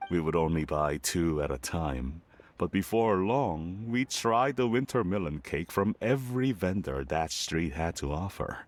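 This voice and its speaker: A man voicing a gentle, nostalgic father in a video game, speaking tenderly. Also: sits low, expressive, a little slow. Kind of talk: video game character dialogue.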